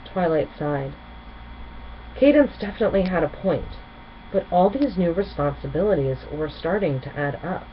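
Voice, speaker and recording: Lower-pitched woman reading a children's story. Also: animated, moderate pace. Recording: muffled, with clear background noise.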